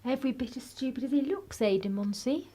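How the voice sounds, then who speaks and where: Radio play role: actress as a well-bred young lady, high-pitched, formal, sceptical and cutting. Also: animated, fairly quick, mellow. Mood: sardonic. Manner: pointed, sardonic, formal.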